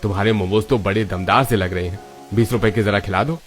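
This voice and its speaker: A man's voice: on the low side, fairly even intonation, fairly quick, close to an everyday voice. A man voicing a kindly, chatty older railway station master in a cartoon story, with faint music behind. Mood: consoling.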